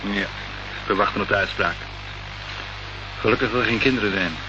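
Low, resigned man played by an actor. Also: brisk, natural voice. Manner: resigned, informal. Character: resigned, friendly divorcing man, old friend of the investigator. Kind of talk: Dutch radio play acting. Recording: dull, noisy old radio play recording.

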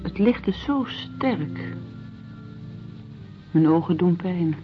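A woman's voice: fairly low pitch, expressive, slow. A woman playing a watchful, sceptical character in a radio drama, speaking in a probing, direct way. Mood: uneasy. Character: watchful, sceptical woman confronting a sick doctor. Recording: dull radio recording, faint music behind.

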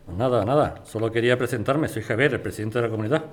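An actor with a Spanish accent playing an excitable residents' association president in an audio drama. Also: male voice, medium pitch, fast, natural voice. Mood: friendly, flirty. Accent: Spanish from Spain. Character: irritable yet flirty male residents' association president, builder.

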